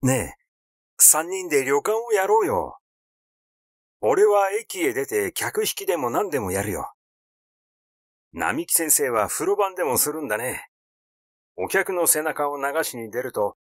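A man voicing a rash, glib, excitable schemer in an audiobook, talking in a blunt, teasing, effusive way. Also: mid-range voice, unhurried, no room sound, studio quality. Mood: glib, eager. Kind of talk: literary audiobook character dialogue.

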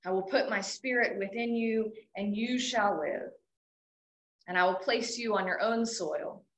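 Woman worship leader, mid-pitched, very slow measured reading, echoey room. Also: slight background noise. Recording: echoey hall.

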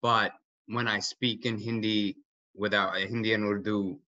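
A man's voice: fairly low pitch, flat delivery, normal speed, sharp tone, no room sound. A young man in his twenties, an actor, asking an eager, winding question over a video call from a home kitchen. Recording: dull, dry video-call audio.

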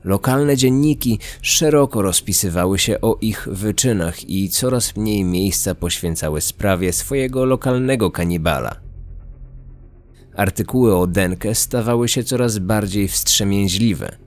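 A man reading scripted true-crime documentary narration in a measured, serious way. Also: on the low side.

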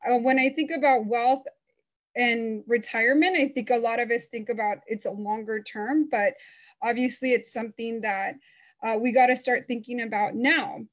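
A woman in her thirties from El Salvador, a foundation board member, telling her personal story openly over a video call with frequent pauses and fillers. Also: on the high side, steady tone. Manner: open and conversational, frequent uh and um.

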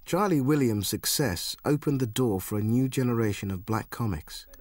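A man narrating a documentary in a measured, scripted way. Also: medium pitch, lively intonation, a little mellow.

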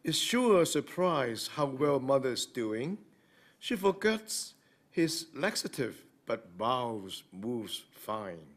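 Slow-paced older man reading poetry. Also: medium pitch, sing-song. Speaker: amateur reader in a reading-aloud group. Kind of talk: poetry recitation. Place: library meeting room, indoors. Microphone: podium microphone.

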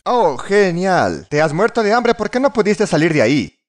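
Male comedic narrator, high voice with big swings, sarcastic and exaggerated, music underneath. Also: very animated, moderate pace. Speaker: fandub narrator. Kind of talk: scripted comedic parody narration.

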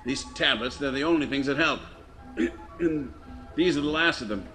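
A man acts a charming racketeer in a radio drama, jaunty and glib, with a cynical edge. His voice sits at middle pitch with light music behind. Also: moderate pace, bright, forceful tone, close to an everyday voice.

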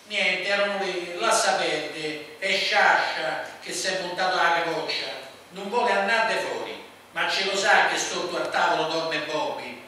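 A cruel Roman mother screams at her son, as a male narrator puts her on, bright and piercing. The room echoes loudly, and the voice sits back from the mic. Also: high voice, unhurried, far from the mic, a little hiss or hum. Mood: angry. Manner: scolding, shrieking. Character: harsh angry mother from Rome.

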